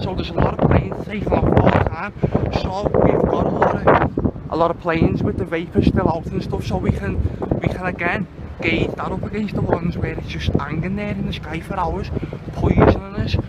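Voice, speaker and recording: Liverpudlian man vlogging outdoors, very noisy recording. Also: fairly high pitch. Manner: sweary, rambling, conspiratorial. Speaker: host of an amateur video channel. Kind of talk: casual off-the-cuff vlog commentary. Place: outdoors in the city. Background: loud wind and vehicle noise.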